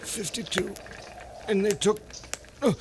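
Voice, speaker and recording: An actor playing a badly wounded, dazed wagon-train survivor in a 1950s western radio drama, gasping out broken phrases. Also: male voice, high-pitched, slow pace. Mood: dazed anguish. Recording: noisy 1950s radio recording.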